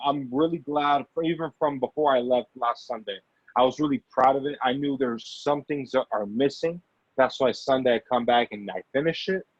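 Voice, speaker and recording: A man's voice: mid-range voice, dry recording. A man talking casually as an interview guest, rambling and often repeating his phrases as he explains himself. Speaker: guest actor and filmmaker. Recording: dull lo-fi audio.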